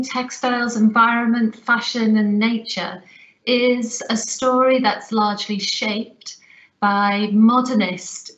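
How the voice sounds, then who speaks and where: A woman giving a recorded lecture, speaking in a measured, reflective way over a webcam. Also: a little high, a bit bright. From Liverpool, England. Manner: measured, reflective, deliberate phrasing. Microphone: webcam on a video call. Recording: roomy, slightly dull webcam sound.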